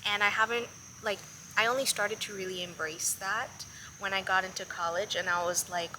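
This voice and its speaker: A woman's voice: medium pitch, steady tone, normal speed, bright, forceful tone, a little hiss or hum, poor audio. A Colombian-born woman living in Miami, hosting a podcast and speaking reflectively, with hesitant restarts. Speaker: podcast host. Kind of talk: casual interview conversation.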